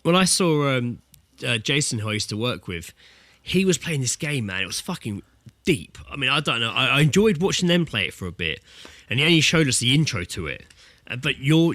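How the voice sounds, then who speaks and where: A man's voice: medium pitch, sing-song, normal speed, pushed and bright, no echo. A British man co-hosting a podcast, chatting informally about video games with some swearing. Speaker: podcast co-host. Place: indoors, remote internet voice call. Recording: dry, close voice-call sound.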